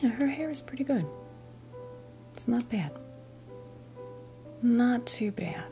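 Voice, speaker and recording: A woman hosting an ASMR doll unboxing, chatting casually and softly to camera, gushing over small details in an unhurried way. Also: a little high, lively intonation, music in the background.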